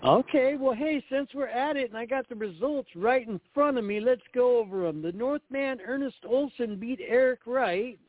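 A man's voice: very high voice, normal speed, few pauses, no echo. A man co-hosting a casual talk show, chatty and easygoing as he tells stories and reads results. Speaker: co-host. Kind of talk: casual chat. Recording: dry tinny phone line.

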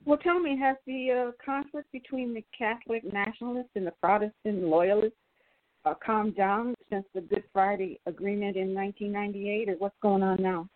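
A woman's voice: medium pitch, fairly even intonation, moderate pace, no echo. A woman hosting a radio talk show, interviewing a guest, often stumbling over the start of her questions. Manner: brief interjections, repeats words when starting a question. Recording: dry, tinny phone-like line.

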